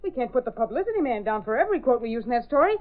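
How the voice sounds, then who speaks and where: Fast, brassy girl reporter, muffled recording. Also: on the high side, big pitch swings. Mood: indignant, pushy. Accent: American. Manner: brassy, slangy, pushy. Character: brash, wisecracking young female newspaper reporter. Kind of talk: 1930s radio drama acting. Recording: muffled, narrow-band old radio recording.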